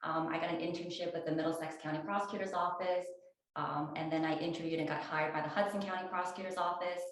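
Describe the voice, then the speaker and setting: A woman in her forties from New Jersey, a detective answering interview questions. She speaks in a plain, matter-of-fact way with a few fillers, into a small clip-on mic. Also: sits low. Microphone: small clip-on mic shared between speakers. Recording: very echoey room.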